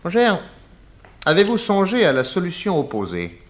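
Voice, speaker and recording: An actor playing a genial, self-assured private detective of 1910 in a French radio drama, urbane and expansive. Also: male voice, high voice, wildly swooping pitch, normal speed, slight background noise. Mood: amused, assured.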